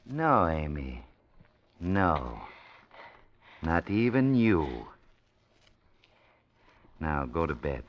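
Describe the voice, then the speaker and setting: Greedy, suspicious recluse: very low, slow, snappish, noisy vintage radio sound. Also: male voice, sing-song, full character voice. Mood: brooding, greedy. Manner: brooding, snappish, muttering to himself. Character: Hugo, greedy secretive irritable man hiding on swamp houseboat. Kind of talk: 1940s radio acting. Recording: noisy, dull 1940s radio recording.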